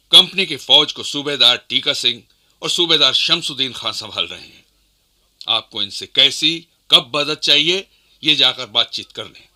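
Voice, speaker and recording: An actor playing a commanding, deliberate rebel leader and strategist in a historical radio play. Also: male voice, medium pitch, pushed and bright, full character voice. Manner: deliberate, authoritative.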